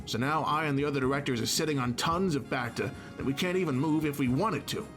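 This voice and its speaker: A man's voice: medium pitch, fast talker, over music, lightly acted. A man playing a scheming, self-assured corporate director in a fan comic dub, dismissive and businesslike. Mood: aggrieved, scheming.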